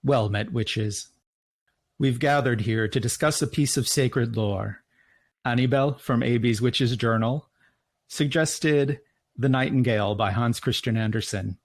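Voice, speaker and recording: A man's voice: mid-range voice, a little slow. Male podcast host, stumbling questions. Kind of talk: casual chat.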